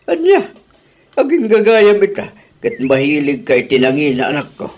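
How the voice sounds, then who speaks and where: A man's voice: wildly swooping pitch, normal speed, darkish tone. A man with an Ilocano accent voicing a thrifty old father who lectures his daughter in a radio drama. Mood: lecturing. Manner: lecturing, swooping pitch.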